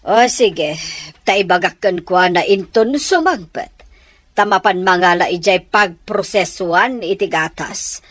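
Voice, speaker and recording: Radio drama mother, middle-aged, doting, coaxing, unhurried, Filipino accent. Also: on the low side, no echo. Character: indulgent middle-aged mother pampering her spoiled child.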